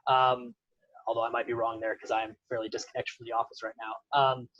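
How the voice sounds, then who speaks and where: A man, a guest speaker, answering questions in a relaxed, hedging way on a video call. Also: medium pitch, fast talker, dry recording, lo-fi sound. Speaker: guest speaker from a development firm. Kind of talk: casual Q&A answer.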